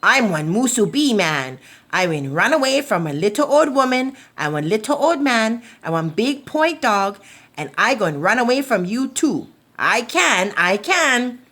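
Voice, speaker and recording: A cheeky storybook rice-ball man, played by a woman, crows his taunts in a lively, raised singsong. He is gleeful and mocking, chanting in Pidgin phrasing. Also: on the high side, a little slow, pitched above the performer's own voice, full character voice. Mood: gleeful taunting. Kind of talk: children's storybook read-aloud.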